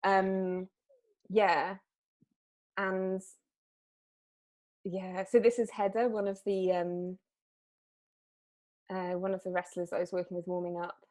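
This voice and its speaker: A woman talks about her work in a loose, conversational way. She stops and restarts often and leans on fillers as she goes. Her voice sits at an ordinary middle pitch. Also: flat delivery, slow pace, pauses often, darkish tone. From South Wales. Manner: hesitant, lots of fillers and restarts. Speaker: artist. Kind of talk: casual interview chat.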